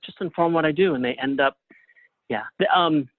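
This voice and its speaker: A man speaking as a guest in a casual interview over a thin, phone-like line, halting and trailing off at times. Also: a little high, dry recording.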